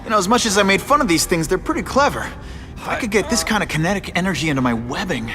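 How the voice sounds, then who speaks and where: A man's voice: high voice, expressive, quick pace, in a large hall. An actor playing a wisecracking young superhero in a video game, teasing a thug as he questions him. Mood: wry. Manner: quippy and teasing. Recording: game audio over music.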